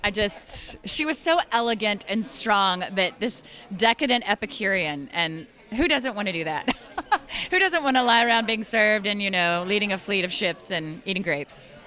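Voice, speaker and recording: A woman voice actress and singer chatting in a convention interview, bubbly and quick to laugh. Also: mid-range voice, animated, bright, forceful tone.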